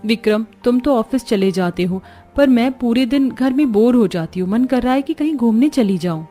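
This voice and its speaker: A woman's voice: fairly high pitch, expressive, normal speed, dark tone, soft background music. A woman voicing a sweet young bride from a poor family in a cartoon. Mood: friendly.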